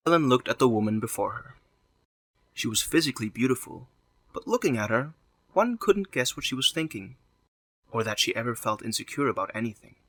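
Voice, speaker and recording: Male narrator, scripted storytelling, bright crisp-edged tone, mid pitch, dry close sound. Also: lively intonation, a little fast, no echo.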